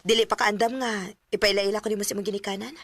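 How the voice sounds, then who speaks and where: A woman's voice: medium pitch, steady tone, fast, dry recording, clean studio sound, close to an everyday voice. An actress playing a young, plain-spoken, easily hurt girlfriend in a radio drama, with a Filipino Cebuano accent.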